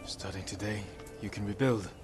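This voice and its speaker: A man playing a stoic samurai lord in a video game, speaking in a grave, restrained and measured way. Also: lowish voice, fairly even intonation, faint music behind, close to an everyday voice. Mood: calm. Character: stoic adult male samurai lord of feudal Japan. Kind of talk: dramatic video game acting.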